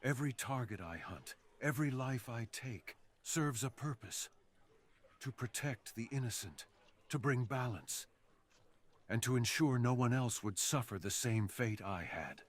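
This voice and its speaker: A man voicing a brooding, seductive fox assassin in an intimate ASMR roleplay, speaking slowly and earnestly with dramatic pauses. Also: mid-range voice, animated. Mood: resolute, grave. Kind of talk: ASMR roleplay, slow intimate scripted monologue.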